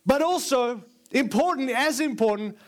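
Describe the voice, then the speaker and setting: High-voiced man preaching with an Australian accent. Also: lively intonation, normal speed. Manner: earnest, emphatic, repeats phrases for build. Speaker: guest preacher. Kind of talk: sermon. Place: large church before a live congregation.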